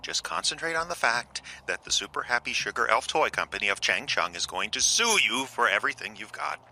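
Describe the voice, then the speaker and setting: Man acting exasperated producer, piercingly sharp voice. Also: mid-range voice, big pitch swings, a little fast, a little hiss or hum. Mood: menacing sarcasm. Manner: sarcastic, emphatic, impatient. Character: exasperated, bullying male animation producer, middle-aged. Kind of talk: comic audio drama dialogue.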